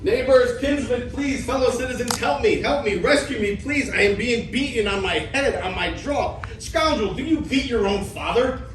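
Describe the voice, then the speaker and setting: A man's voice: very high-pitched, moderate pace, few pauses, fairly bright tone. Echoey, pompous old Greek schemer played by a man. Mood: frantic, indignant. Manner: pompous, scoffing, theatrical. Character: crafty, mocking old Greek father, a blustering cheat. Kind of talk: amateur dramatic reading of classical comedy. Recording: echoey room, distant mic, faint noise.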